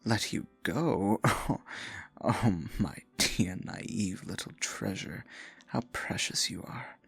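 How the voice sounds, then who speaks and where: A man playing a possessive, theatrical dark sorcerer in a fantasy ASMR roleplay, murmuring with silky menace and mock-sweet endearments in a husky, gravelly voice. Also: lowish voice, slow pace, no echo, faint music behind, exaggerated voice. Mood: besotted, possessive.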